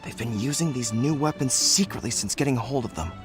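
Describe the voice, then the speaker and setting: A man's voice: mid-range voice, fast, music in the background, lightly acted. A man playing a dutiful young army general in a video game, giving earnest, formal military reports. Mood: serious, troubled.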